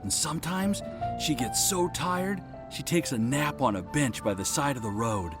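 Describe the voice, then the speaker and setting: A man's voice: mid-range voice, animated, moderate pace, over music, natural voice. A man voicing a shy, earnest young man in love in a video game, with a hesitant, stammering delivery. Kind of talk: video game character acting.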